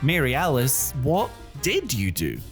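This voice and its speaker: A man chatting casually on a recap podcast. His pitch swings widely, and he keeps a playful, animated tone throughout. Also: unhurried, faint music behind. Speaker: podcast co-host.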